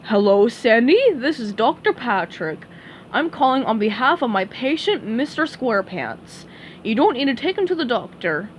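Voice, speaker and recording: A woman voicing a dim, good-natured male starfish who talks loudly and slow-wittedly. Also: a little high, very animated, slight background noise. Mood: pompous.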